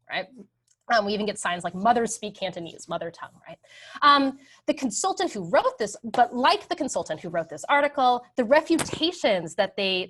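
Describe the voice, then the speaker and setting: A woman in her thirties giving an academic history lecture over slides, relaxed and conversational, often checking in with "right?". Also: medium pitch. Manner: conversational, frequent "um" and "right?" tags.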